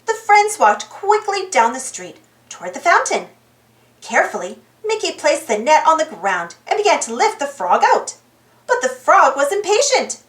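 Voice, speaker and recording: A woman reading a children's storybook aloud as narrator in an animated style. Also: sits high, normal speed, roomy sound.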